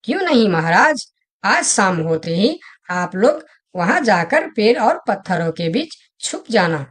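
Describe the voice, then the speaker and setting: A woman voicing an earnest, helpful and excitable male monkey in an expressive moral-story cartoon. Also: medium pitch, measured pace. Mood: pleading, eager. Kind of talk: Hindi animated moral-story cartoon dialogue.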